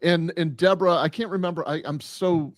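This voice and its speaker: Slightly high-voiced man recounting news. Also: steady tone, fairly quick, dry recording, clean studio sound. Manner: storytelling, acts out quoted exchanges. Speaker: podcast panelist. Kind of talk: casual news explanation.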